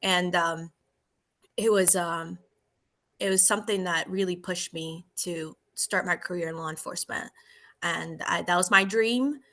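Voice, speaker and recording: A woman from Argentina, a police officer in her thirties, telling her story in a relaxed, chatty podcast interview. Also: fairly low pitch, a little slow.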